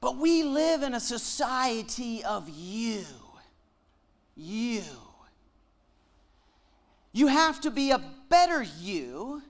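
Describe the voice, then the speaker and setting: A Canadian man with a slight Southern twang, giving a joking sermon-style lecture with slow, deliberate emphasis on key words. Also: extremely high pitch, big pitch swings. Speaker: guest speaker at a Bible lectureship.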